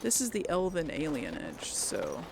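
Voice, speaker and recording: A woman's voice: low voice, wildly swooping pitch, a little slow, creaky, background noise. A woman giving casual let's play commentary, musing aloud and rambling to her viewers. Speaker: gaming video host.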